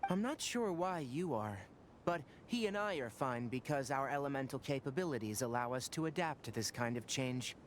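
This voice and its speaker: A young man voicing a precise, knowledgeable forest ranger scholar in a video game, explaining things in a calm, lecturing way. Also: medium pitch, moderate pace, a little hiss or hum, close to an everyday voice. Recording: game dialogue over orchestral score. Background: orchestral music.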